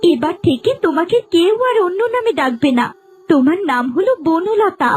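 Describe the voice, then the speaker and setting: A woman's voice: very high voice, animated, moderate pace, a little mellow. A woman voicing a powerful, protective fairy in a cartoon, commanding yet tender. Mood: tender, sorrowful. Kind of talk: cartoon character dialogue. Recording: music behind the voice.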